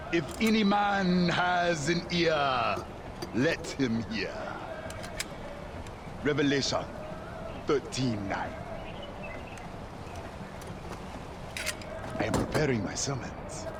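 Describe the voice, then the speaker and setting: A booming, fervent ex-warlord preacher. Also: male voice, animated, slow pace, pauses often, noisy background. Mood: solemn. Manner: preachy, booming, scripture-quoting. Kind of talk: video game acting.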